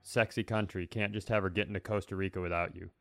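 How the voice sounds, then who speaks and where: A man's voice: deep voice, fairly even intonation, fast talker, creaky, a bit bright, no room sound, studio quality. A man co-hosting a comedy podcast with casual, wry, self-deprecating banter. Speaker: podcast co-host.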